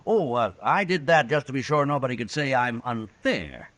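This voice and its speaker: A man's voice: medium pitch, animated, normal speed, a bit bright, no room sound, close to an everyday voice. An actor playing a genial, scheming frontier businessman in a 1950s radio western, affable and overly polite. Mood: glib.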